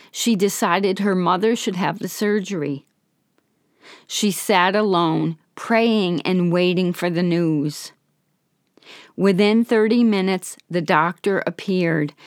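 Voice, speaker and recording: A woman podcast host narrating a story in a calm, gentle, measured way while slightly hoarse from being under the weather. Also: mid-range voice, no echo, clean studio sound.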